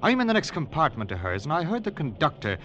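An actor playing a jovial, chatty older gentleman traveler in a 1950s radio drama, hearty and genial. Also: fairly high pitch, huge pitch swings, quick pace, lo-fi sound. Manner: hearty, genial, sing-song. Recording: noisy old radio drama recording.

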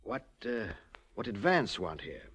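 A man's voice: fairly low pitch, sing-song, measured pace, a bit bright, husky, full character voice. An actor playing an ingratiating underworld henchman in a 1940s radio crime drama, hesitant and insinuating. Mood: ingratiating, nervous. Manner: hesitant, insinuating, sly chuckles. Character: oily, ingratiating male underworld henchman seeking favour.

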